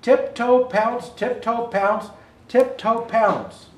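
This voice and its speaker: An older man with a high-pitched voice reads a children's picture book aloud. He phrases things expressively and deliberately, stumbling now and then. The room adds a noticeable echo around his words. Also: distant mic, slight background noise. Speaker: Santa Claus storyteller. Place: indoor room with Christmas decor. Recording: roomy indoor echo.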